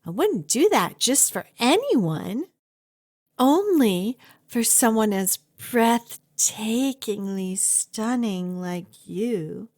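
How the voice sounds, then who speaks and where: Woman acting a high-voiced, lovestruck yandere kidnapper. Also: lively intonation, very slow, darkish tone, exaggerated voice. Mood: adoring, gushing. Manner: gushing, coaxing, dramatic emphasis. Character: obsessive, lovestruck female kidnapper, doting and clingy. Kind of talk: ASMR roleplay acting.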